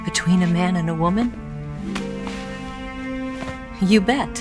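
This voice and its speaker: Low-voiced, flirtatious female spy. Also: slow, music in the background. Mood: probing. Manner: teasing, seductive, confident briefing. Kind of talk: video game cutscene acting.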